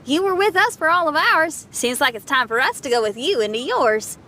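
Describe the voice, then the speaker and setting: A woman's voice: high-pitched, expressive, a little fast, pushed and bright, a little hiss or hum. A woman voicing a friendly, down-to-earth teenage girl who talks casually and encouragingly in an English game dub. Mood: supportive.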